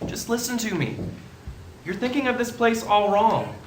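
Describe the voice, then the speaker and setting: Earnest young loan manager played by a man, very lively and emphatic, 1940s radio drama on an echoey stage. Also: sits high, moderate pace. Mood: pleading, earnest. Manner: pleading, emphatic, speech-making. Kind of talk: stage radio play acting, 1940s manner. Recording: roomy theatre stage, faint noise.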